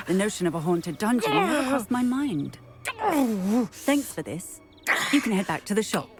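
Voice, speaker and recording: A woman playing a composed female wizarding police officer in a video game, measured and formal in manner. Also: mid-range voice, lightly acted. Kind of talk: video game character acting.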